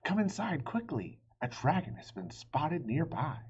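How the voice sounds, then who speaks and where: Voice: medium pitch, big pitch swings, a little slow, darkish tone, husky, highs cut off, voice pushed up, full character voice. A man voicing a concerned, decisive noble mother in a storybook read aloud. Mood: urgent, concerned.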